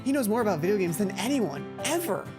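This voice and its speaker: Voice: medium pitch, sing-song, fast, music in the background, close to an everyday voice. A game player voicing a gossipy, boy-crazy schoolgirl. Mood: admiring, chatty. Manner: chatty, dishy, confiding.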